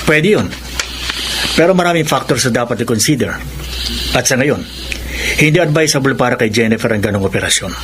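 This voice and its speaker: A Filipino actor playing a calm, clinical male doctor explaining things in a Tagalog radio drama. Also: mid-range voice, brisk, long pauses, background noise, lightly acted. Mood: grave, explaining. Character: calm, matter-of-fact male heart doctor and family friend. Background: music.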